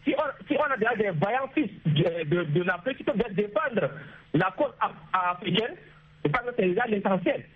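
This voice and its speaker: A man with a Chadian accent calling in to a radio show, arguing his political opinion haltingly, repeating words. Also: high-pitched, lively intonation, husky. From Chad. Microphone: phone. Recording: muffled, lo-fi phone line.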